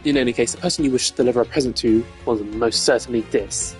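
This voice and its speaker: A man's voice: mid-range voice, fast, faint music behind, close to an everyday voice. A man voicing a haughty, precise prosecutor in a video game, formal, pompous and dryly sardonic. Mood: dry, mocking.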